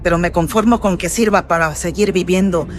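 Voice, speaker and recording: A man's voice: high-pitched, fairly quick, soft background music. A man with a filtered voice playing a humble, devout, elderly noblewoman with courteous, old-fashioned speech in a Spanish-language horror radio drama. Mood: humble, confiding. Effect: voice processed with filters.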